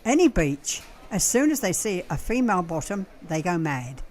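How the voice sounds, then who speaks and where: A woman's voice: fairly low pitch, big pitch swings, normal speed, a little mellow. A woman playing a cheeky, blunt young shop assistant in a radio sitcom. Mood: chatty, cheeky. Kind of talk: radio play sitcom comedy acting. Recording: clearly noisy with clicks.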